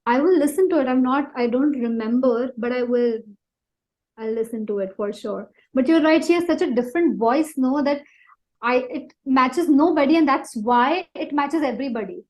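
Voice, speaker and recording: An Indian woman speaks in a high voice as a singer being interviewed. She chats informally and tells little anecdotes, gushing with appreciation for the people she describes. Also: moderate pace, a little mellow, low-quality recording. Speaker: singer-songwriter guest. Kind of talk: casual chat, telling anecdotes.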